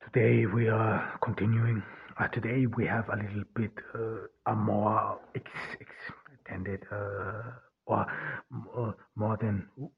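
A man from Turkey talks through his podcast alone, stopping and restarting often. His voice is low and very husky, with a flat, even line. The recording sounds dull and lo-fi. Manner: halting, many restarts and fillers. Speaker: podcast host. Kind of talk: casual solo podcast talk.

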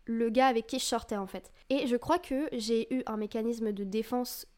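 A woman's voice: fairly high pitch, normal speed, darkish tone. A woman in her twenties from France, hosting a podcast and telling her own story in a candid, confessional, casual way with fillers. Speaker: podcast host. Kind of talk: personal storytelling monologue.

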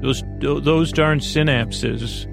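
A man with a creaky, gravelly voice hosts a sleep podcast, giving a soothing, meandering bedtime monologue with hesitant, repeating, lulling speech close to the microphone. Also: fairly high pitch, over music. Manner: meandering, hesitant, repeats and stumbles over words; lulling, gentle, drawn-out delivery.

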